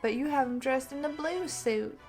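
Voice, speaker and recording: Woman acting storybook widow, polite, particular, deliberate, slightly high voice, faint music. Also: darkish tone.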